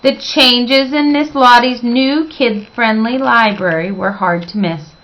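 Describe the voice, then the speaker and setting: A woman reading a children's storybook aloud as expressive narration, with dramatic, emphatic phrasing. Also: a little high, slow pace, lo-fi sound.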